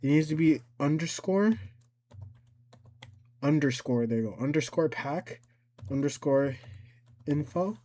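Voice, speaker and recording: Halting, informal man giving a tutorial. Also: fairly high pitch, animated, dark tone. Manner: informal, halting, repeats instructions and corrects himself. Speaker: tutorial host. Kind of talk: casual unscripted software tutorial, thinking aloud while demonstrating.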